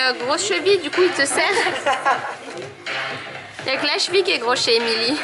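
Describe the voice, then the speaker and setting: Jeune femme aiguë et rieuse en vlog. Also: female voice, high-pitched. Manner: chatty, giggly, teasing. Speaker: vlogger. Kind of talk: casual travel vlog. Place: outdoors at a busy seaside town. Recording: busy street crowd and music.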